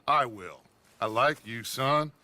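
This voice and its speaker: An actor playing a commanding, persuasive outlaw gang leader in a game cutscene, grandiose and assured, with an American accent. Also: male voice, mid-range voice, animated, slow pace, bright, forceful tone, exaggerated voice. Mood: smooth, coaxing. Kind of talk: video game cutscene acting.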